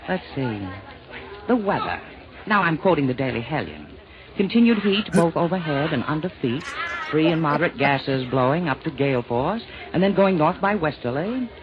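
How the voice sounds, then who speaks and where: An actor playing a wry, chatty narrator from hell in an old radio comedy, speaking in theatrical rhymes. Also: male voice, on the high side. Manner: playful, theatrical rhyming asides. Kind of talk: 1940s radio comedy acting. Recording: muffled old-time radio recording.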